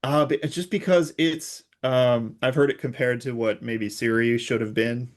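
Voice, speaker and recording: A man's voice: mid-range voice, steady tone, normal speed. A man speaking as an interview guest, giving informal, conversational explanations as a technical expert.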